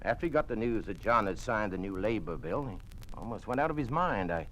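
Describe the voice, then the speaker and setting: Blunt, low-voiced doctor, swinging intonation. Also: male voice, lively intonation, a little fast, fairly bright tone. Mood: matter-of-fact. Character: brisk, blunt bachelor doctor and loyal friend. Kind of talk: old-time radio drama acting. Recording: noisy old radio drama recording.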